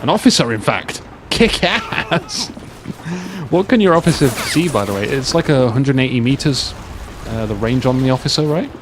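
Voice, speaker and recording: Joking man commentating over clear game noise. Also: medium pitch, lively intonation, moderate pace. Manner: joking, bantering. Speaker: video game match commentator. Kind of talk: casual live game commentary. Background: war game sounds, vehicles.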